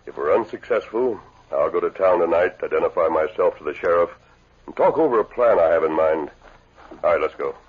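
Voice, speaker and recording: An actor playing a calm, resolute masked western lawman in a 1950s radio adventure, speaking in formal, precise sentences. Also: low-pitched, a little fast. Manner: formal, precise, explanatory diction. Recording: muffled, noisy old radio broadcast recording.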